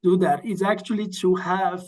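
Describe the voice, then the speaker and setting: A man, one of the journal's editors, moderating an online workshop, with quick thanks and hand-offs to each speaker. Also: sits high, fairly even intonation, a little mellow.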